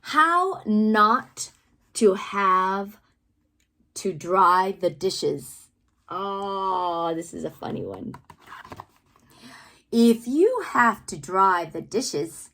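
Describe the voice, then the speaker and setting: A woman reading children's poetry aloud with theatrical, deliberate phrasing and playful emphasis, adding asides as the reader. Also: mid-range voice, lively intonation, sharp tone. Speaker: read-aloud host, teacher-like reader.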